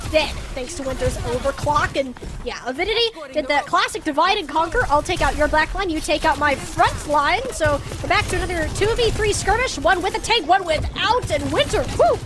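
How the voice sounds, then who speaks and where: A woman with a strikingly high voice calls an esports match live. She is excitable and colloquial, dropping playful interjections into her play-by-play. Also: a little fast, hardly any pauses.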